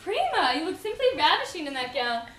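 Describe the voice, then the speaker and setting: A woman's voice: very high voice, very animated, a little fast, reverberant, a crowd in the background. A woman playing a flustered, scatterbrained, short-sighted woman doctor who talks in a fluttery, breathless rush. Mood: gushing.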